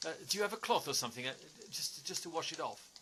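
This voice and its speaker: A man's voice: fairly high pitch, animated, close to an everyday voice. Stammering, confused stranger acted by a man, noisy recording. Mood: confused, shaken. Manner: halting, stammering. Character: confused, injured male stranger, harmless and apologetic. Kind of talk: audio play acting. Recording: clearly noisy background.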